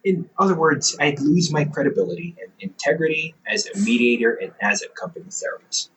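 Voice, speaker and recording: Audio roleplay: male actor as a young adult older brother and company therapist, teasing, quick to laugh, very lively pitch. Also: a little hiss or hum, rough recording.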